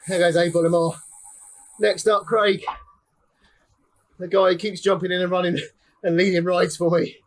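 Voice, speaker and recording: A young man giving casual live commentary on a cycling race while riding, chatty and slightly out of breath. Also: a little high. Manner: chatty, calling out finishers one by one. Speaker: ride leader and race commentator.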